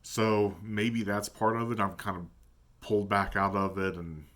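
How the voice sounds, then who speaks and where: A man with a deep voice talking casually in a vlog about his tabletop gaming, thinking aloud and hesitating as he goes. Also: level tone, measured pace, fairly bright tone. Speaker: tabletop game player. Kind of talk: casual reflective vlog talk.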